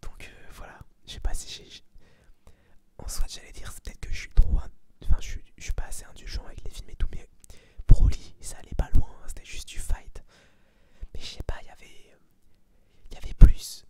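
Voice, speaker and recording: An eighteen-year-old man gives an informal ASMR review in a breathy whisper, slangy, sweary and blunt. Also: normal speed. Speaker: ASMR creator reviewing a film.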